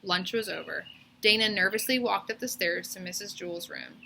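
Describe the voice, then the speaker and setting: Bright-voiced woman reading a storybook. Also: mid-range voice, moderate pace, creaky, a little hiss or hum. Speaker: teacher reading a children's book aloud. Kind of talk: expressive storybook read-aloud narration.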